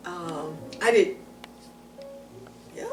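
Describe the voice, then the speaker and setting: An older woman from Chicago with a fairly low voice answers family questions in short, unhurried pieces. She sounds set back from the mic in an echoey room. Clear music plays underneath her. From Chicago, United States. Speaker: interviewee, grandmother. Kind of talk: casual family interview. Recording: roomy, voice from far off, music behind.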